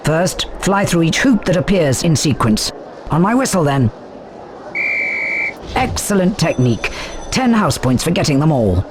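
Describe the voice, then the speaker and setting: A commanding British witch teacher, strict and brisk. Her delivery is animated and authoritative, with faint noise behind the game dialogue. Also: low voice. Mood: instructing. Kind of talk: scripted video game instruction. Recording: noisy game sound behind voice.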